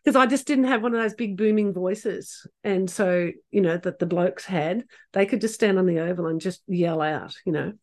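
A woman in her sixties, a PE teacher being interviewed, chatting casually with a soft voice, restarting words and laughing often. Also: fairly low pitch, moderate pace, hardly any pauses. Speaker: PE teacher, guest.

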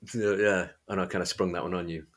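A man's voice: deep voice, fairly even intonation, fairly quick. A man from Derbyshire with an East Midlands English accent, chatting informally as a podcast host about a book. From Derbyshire, England. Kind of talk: casual book discussion chat.

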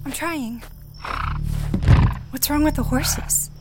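A woman's voice: high voice, takes it slowly, over loud music, poor audio. An actress playing a practical, law-abiding, level-headed woman in a radio drama, firm and matter-of-fact. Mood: urgent.

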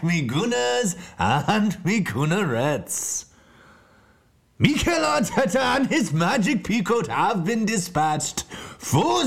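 A high-pitched, wildly swooping showman played by a man hosts mock football news. He is bombastic and theatrical, stretching his words out slowly like a grand announcer. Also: gravelly, fairly bright tone, full character voice. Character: bombastic male football show host, theatrical and showy. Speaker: host of a football comedy show. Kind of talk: mock sports-news presenting, scripted.

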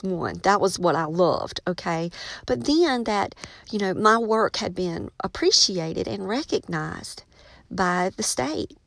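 A woman's voice: medium pitch, vocal fry, no echo. Chatty middle-aged American woman, close dry sound. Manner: chatty, folksy storytelling, addressing listeners as friends. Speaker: podcast host, teacher and writer. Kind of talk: casual solo podcast monologue.

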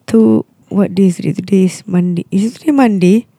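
A hoarse speaker with a Malaysian English accent talks casually, rambling and halting with many restarts. The voice is gravelly and very dark, and the close recording is completely dry. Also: mid-range voice, no echo. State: hoarse, losing voice and unwell. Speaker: touring musician and podcast co-host. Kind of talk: casual chat.

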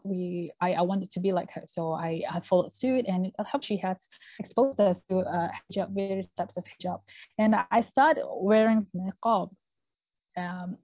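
Singaporean woman in a roomy, muffled recording. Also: fairly low pitch. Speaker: podcast guest, family trauma coach. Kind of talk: casual conversational interview. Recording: roomy, slightly dull audio.

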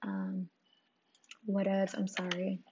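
A young woman hosting a casual solo podcast with a croaky, hoarse voice, talking candidly with lots of swearing and "like" fillers. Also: fairly low pitch, big pitch swings, slow pace. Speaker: podcast host. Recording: dull lo-fi sound with clear noise.

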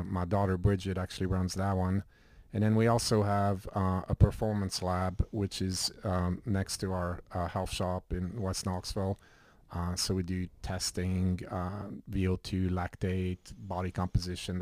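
A middle-aged man from Switzerland speaks as an interview guest with a low, level voice that barely rises or falls. He talks in a relaxed, rambling way, full of fillers. The recording is dry and close. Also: normal speed, no echo. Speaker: guest, health shop owner. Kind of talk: casual interview talk.

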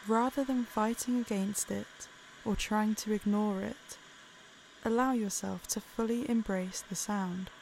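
A woman narrating a guided meditation in a calm, soothing, unhurried way. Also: medium pitch, creaky, slight background noise, low-quality recording.

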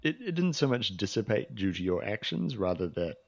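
A man narrating as game master in a halting way, full of fillers and restarts. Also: fairly low pitch, sing-song, creaky, highs cut off.

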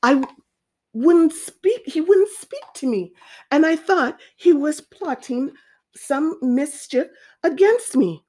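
A woman's voice: sits high, expressive, slow, darkish tone, pitched above the performer's own voice. A woman playing an aggrieved, defensive male python in a storybook, justifying himself in a whining way.

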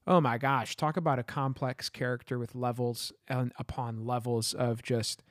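Male podcast co-host, rambling and word-searching, mid pitch, dry close mic. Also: steady tone, moderate pace, no echo. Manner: rambling, searching for words, with "you know" and "I mean". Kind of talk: casual chat.

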